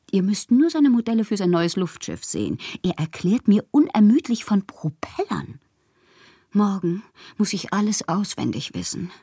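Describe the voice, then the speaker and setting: An actress in a radio drama playing a lonely, whimsical bohemian woman writer, intimate and wistful, with a husky, breathy voice that often drops to a whisper. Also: on the low side, mellow, no echo, studio quality, exaggerated voice. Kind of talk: literary radio monologue.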